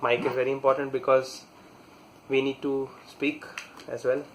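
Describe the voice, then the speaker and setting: A man talking casually through a hands-on product installation, halting and pausing mid-sentence as he works. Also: medium pitch, completely flat delivery, slight background noise, low-quality recording. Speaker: product reviewer demonstrating installation. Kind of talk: casual hands-on tutorial talk.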